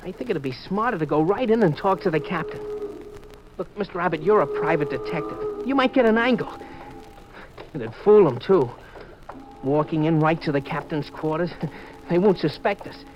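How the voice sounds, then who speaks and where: Actor playing high-voiced, jumpy young radio sailor. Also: male voice, animated, normal speed, lightly acted. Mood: uneasy, secretive. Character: desperate, jumpy nineteen-year-old male sailor. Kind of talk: 1950s radio drama acting. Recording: muffled old radio recording, faint music.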